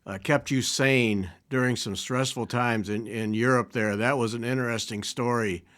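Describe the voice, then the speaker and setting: A man hosting a podcast and interviewing a guest, smooth on sponsor reads and halting when he asks questions. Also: medium pitch, steady tone, a bit bright. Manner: halting, restarts and fillers when asking. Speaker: podcast host.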